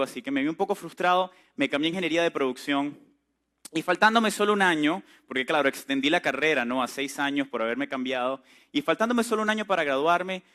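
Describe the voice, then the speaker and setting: A man's voice: medium pitch, fairly bright tone. A man, giving an entrepreneurship talk to a live audience in a chatty, storytelling way and putting questions to the listeners. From Venezuela. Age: 30s. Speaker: entrepreneur speaker.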